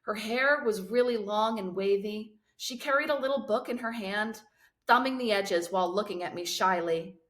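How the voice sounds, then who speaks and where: A woman reading a children's novel aloud in expressive storybook narration. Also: mid-range voice, moderate pace. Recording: roomy, echoing sound.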